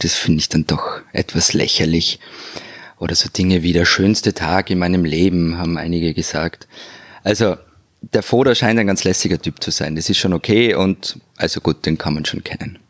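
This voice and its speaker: Deep-voiced Austrian man in discussion. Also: husky, no echo, studio quality. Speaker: podcast co-host, political commentator. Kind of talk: conversational political discussion.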